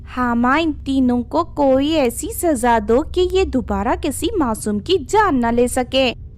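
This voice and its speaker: A woman voicing a fearless young woman, a sorceress's daughter, speaking firmly and deliberately. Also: sits high, lively intonation, a little mellow. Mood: defiant.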